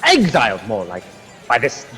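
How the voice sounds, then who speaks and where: Man acting a blustering friar, wildly animated. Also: mid-range voice, normal speed. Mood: furious, scornful. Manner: bombastic, scornful. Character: blustering, indignant Spanish friar, defiant and haughty. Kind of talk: radio drama acting. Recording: long hall reverb, music underneath.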